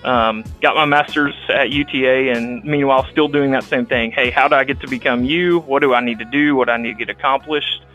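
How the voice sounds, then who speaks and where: A young man from Texas speaks with a Texan accent, his voice bright and forceful. He talks as an interview guest, halting often with restarts and ums, and faint music plays behind him. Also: medium pitch, fairly even intonation, low-quality recording. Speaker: guest, rural health clinic director. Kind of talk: casual interview, telling his life story.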